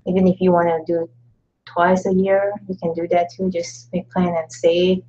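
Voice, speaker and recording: Woman, husky, lowish voice, halting casual chat, lo-fi audio. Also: flat delivery, dark tone. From Indonesia. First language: Indonesian. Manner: halting, chatty, laughs often. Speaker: co-host. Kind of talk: casual live-stream chat.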